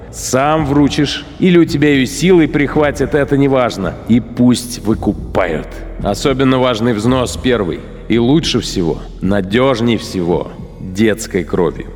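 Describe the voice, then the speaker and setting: An actor playing a cold, boastful, fanatical SS battalion commander, delivering a theatrical, sardonic monologue in a radio play with deliberate emphasis. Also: male voice, on the low side, a little mellow, natural voice. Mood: cynical, menacing. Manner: theatrical, sardonic, contemptuous chuckling asides, deliberate emphatic phrasing. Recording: reverberant hall sound, faint music.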